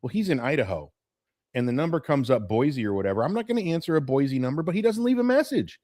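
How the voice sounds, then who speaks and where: A man's voice: mid-range voice, lively intonation, quick pace, warm tone, no echo, studio quality. Chatty man in his late forties. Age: 40s. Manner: chatty, nostalgic, wanders off topic. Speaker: host of a morning live stream. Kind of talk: casual rambling chat to viewers.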